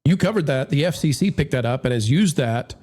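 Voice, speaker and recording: A man's voice: fairly high pitch, expressive, brisk, a little mellow. Man hosting a casual panel discussion. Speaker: host of an entertainment business panel show.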